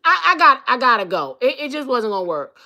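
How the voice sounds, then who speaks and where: Brash New York woman with a bright voice. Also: high-pitched, very animated, a little fast. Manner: blunt, profane, sassy. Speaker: live-stream host. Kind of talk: casual live-stream chat reading comments.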